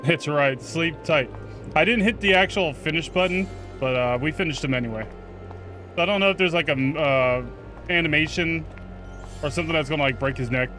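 A man giving casual first-look game commentary, excitable and sweary, reacting aloud and chatting to his viewers. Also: fairly high pitch, fairly quick, over music. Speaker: gaming video host.

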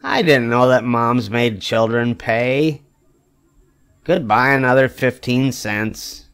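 An older man reading a children's picture book aloud expressively, with emphatic phrasing and drawn-out wry punchlines. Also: medium pitch, fairly bright tone, clear, resonant tone. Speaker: storybook reader.

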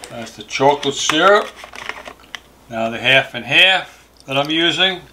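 A man's voice: on the high side, expressive, unhurried, sharp tone, slight background noise. An older man co-hosting a vlog, explaining a recipe step by step in a plain, matter-of-fact way. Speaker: channel co-host. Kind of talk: kitchen demonstration.

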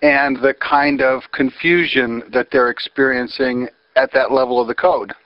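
A man's voice: medium pitch, steady tone, normal speed, dry recording. An American man hosting a phone interview, earnest and wordy with frequent fillers. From United States. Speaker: interviewer, host. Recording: dry, slightly muffled phone recording.

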